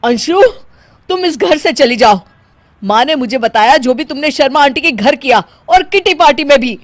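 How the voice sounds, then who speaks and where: A woman's voice: fairly low pitch, expressive, moderate pace, darkish tone, lightly acted. A woman voicing an exasperated young husband who gets angry at his wife.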